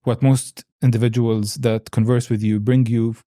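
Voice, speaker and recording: A man's voice: medium pitch, little pitch movement, normal speed, warm tone, no room sound, clean studio sound. A man hosting a podcast in a casual, informal and rambling interview chat. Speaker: podcast host.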